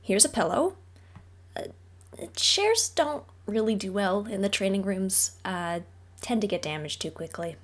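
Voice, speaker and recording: A woman playing a friendly, patient mentor in a roleplay audio drama, speaking to the listener in a gentle, reassuring, casually instructive way. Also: mid-range voice, animated, a little slow. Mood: friendly, explaining. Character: friendly female mentor with powers, patient and encouraging trainer.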